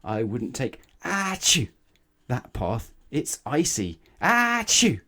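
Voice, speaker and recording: A sneezy cartoon fellow, performed by a male reader, giving cautious warnings. His storybook lines jump up high as sneezes break into them. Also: wildly swooping pitch, slow pace, pushed and bright, exaggerated voice. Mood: warning, sneezing.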